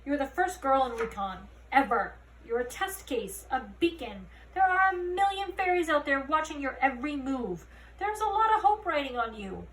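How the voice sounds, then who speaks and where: A woman's voice: high-pitched, sing-song, moderate pace, fairly bright tone, voice pushed up. Woman putting on a barking, enraged fairy police commander. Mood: stern. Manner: barking, scolding. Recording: roomy, distant mic, faint noise.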